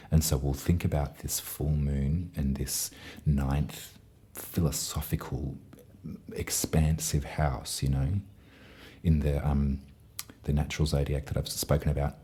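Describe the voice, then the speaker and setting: Very deep-voiced man reading tarot. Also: little pitch movement, measured pace, warm tone, breathy edge. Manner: chatty, affectionate, talks straight to the listener. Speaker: tarot reader.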